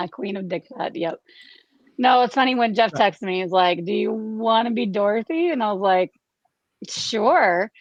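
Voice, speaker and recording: A woman's voice: mid-range voice, lively intonation, a little slow, dry recording. Woman chatting casually, slightly dull dry sound. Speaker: actress in an amateur radio play troupe, playing the lead. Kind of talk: casual chat after a show.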